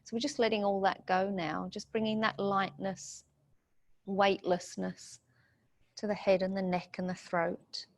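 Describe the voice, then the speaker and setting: A woman leads a guided meditation, giving soothing, gentle instructions in short phrases. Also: mid-range voice, level tone, measured pace, gravelly.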